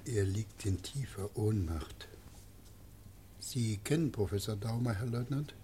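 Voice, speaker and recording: An actor playing a grave, sober man at a deathbed in a radio drama, speaking in a measured way. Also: lowish voice, background noise, natural voice. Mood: grave, restrained.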